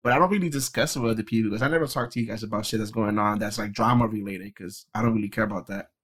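A man's voice: on the low side, very fast, fairly bright tone. Laid-back swearing male podcast co-host. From Dominican Republic. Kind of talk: casual chat.

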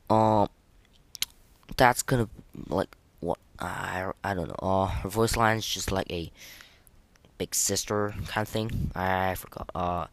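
A man's voice: low voice, no echo. A young man hosting a casual, unscripted podcast of game ratings in a hesitant, rambling, informal way. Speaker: podcast host.

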